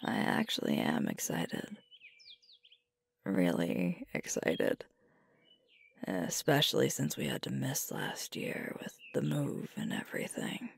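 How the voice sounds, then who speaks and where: A woman playing a sweet, sleepy alpha werewolf girlfriend in an intimate ASMR roleplay, speaking in a gentle, husky, unhurried murmur close to the microphone. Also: rumbling low, huge pitch swings, dry recording, full character voice. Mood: softly pleased. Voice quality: breathy, husky with creaky fry. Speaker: ASMR performer. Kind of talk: ASMR roleplay, intimate whispered and soft-spoken. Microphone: close mic, dry.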